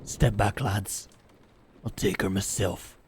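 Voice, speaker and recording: A man's voice: on the low side, steady tone, slow, slight background noise. A man playing a crude, menacing leader of medieval hired killers, sneering and boastful in a fantasy audio drama. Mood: threatening. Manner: sneering, boastful, rough colloquial talk.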